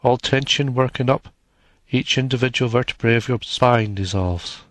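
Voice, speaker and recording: A man narrating a guided meditation in a soothing, measured manner, leaving long pauses between short phrases. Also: mid-range voice, fairly bright tone, dry recording.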